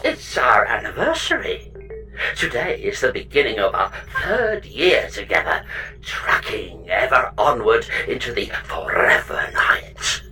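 Devoted ship computer, hugely animated, drawn-out delivery, campy, exuberant, comedy sci-fi audio drama. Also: male voice, fairly low pitch, recorded from a distance, soft background music, heavily acted, rough recording. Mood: coy, gentle. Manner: theatrical, exuberant. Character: excitable, devoted, campy spaceship pod computer companion.

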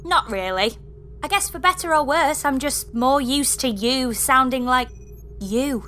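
A woman's voice: sits high, expressive, unhurried, bright, forceful tone, full character voice. A woman playing a dreamy, sardonic space engineer in a comedy sci-fi audio drama, dry and playful. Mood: dry, reluctant. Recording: music underneath.

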